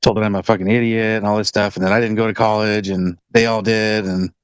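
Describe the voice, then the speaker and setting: A man's voice: lowish voice, little pitch movement, fast, smooth, no echo. A man in casual conversation who talks hesitantly with many fillers and swears bluntly.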